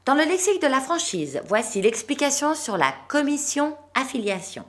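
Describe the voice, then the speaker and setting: Woman news anchor, animated pitch, clear measured read, hosts interviews. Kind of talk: scripted news narration.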